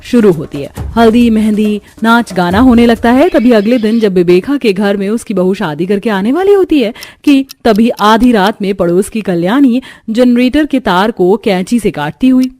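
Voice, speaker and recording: A woman's voice: fairly high pitch, normal speed, hardly any pauses, darkish tone, no room sound. A woman reading scripted narration for a story.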